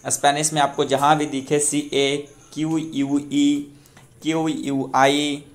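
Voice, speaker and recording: A young man from Bihar, India, teaching a pronunciation lesson and repeating each word slowly for practice. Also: on the high side, level tone. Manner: slow, repeats words drill-style. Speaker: language teacher.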